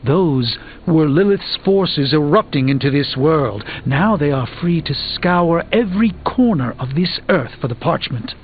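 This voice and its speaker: An actor playing a proud, grave sorcerer burdened by failure in a video game, speaking in a formal, dramatic, articulate manner. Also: male voice, a little high, expressive, a little slow, few pauses, lo-fi sound, a little hiss or hum. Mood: anguished, bitter. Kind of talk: video game character dialogue.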